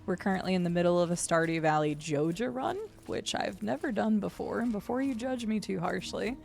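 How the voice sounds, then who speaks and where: A young adult woman with a gravelly voice and deep vocal fry, chatting casually while streaming a game. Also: fairly quick, a little mellow. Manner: chatty, swears and laughs at the game. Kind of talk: casual live-stream chat while gaming. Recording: faint game music behind.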